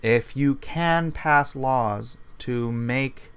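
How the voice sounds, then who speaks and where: Man, commentary host, slow deliberate delivery, mid-pitched, earnest, repeats points. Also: a bit bright, clean tone. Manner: earnest, argues step by step, repeats key points. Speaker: host reading viewer comments. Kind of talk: opinionated commentary show.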